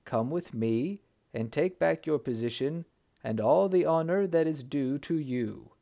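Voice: mid-range voice, a little slow, gravelly, mellow. A storyteller voicing a commanding older sultan who speaks in a regal, emphatic manner.

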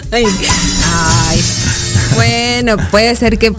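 A woman with a Mexican accent hosting a casual interview, chatty and quick to laugh. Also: a little high, loud music behind the voice. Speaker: host.

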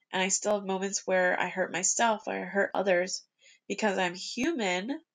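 Earnest young woman with a gravelly edge. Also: mid-range voice, a bit bright. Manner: earnest, self-reflective, speaks in rhetorical questions. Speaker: podcast host. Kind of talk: reflective solo podcast monologue.

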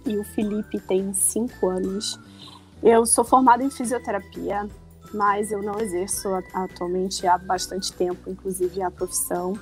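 A woman's voice: mid-range voice, a little mellow. Chatty Carioca woman in her forties. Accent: Carioca Brazilian Portuguese. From Rio de Janeiro, Brazil. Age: 40s. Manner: chatty, laughing easily, many restarts. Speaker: podcast guest. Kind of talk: casual podcast conversation.

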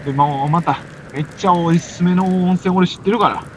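A man's voice: high-pitched, a little fast, pitched above the performer's own voice, natural voice. A man with a Kansai accent playing an anxious, weary stranded climber in an amateur comic radio drama, earnest and exclamatory. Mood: wistful. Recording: noisy amateur recording.

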